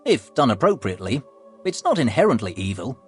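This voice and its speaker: A man playing an earnest scholar in a video game, explaining customs in a husky voice. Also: mid-range voice, very animated, brisk, faint music behind, lightly acted. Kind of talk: video game character acting.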